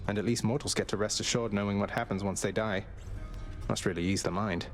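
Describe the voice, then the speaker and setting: Low-voiced young male game hero played by a man, wry and determined underworld prince, music behind. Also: fairly even intonation, brisk, close to an everyday voice. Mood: thoughtful, subdued. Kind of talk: video game voice acting.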